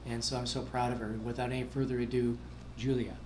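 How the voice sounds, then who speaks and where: A man's voice: mid-range voice, flat delivery, fast talker, background noise. A man giving an enthusiastic award introduction speech for a student at a school event. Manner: enthusiastic, proud. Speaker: school district official presenting award. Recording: echoey room with faint ambience.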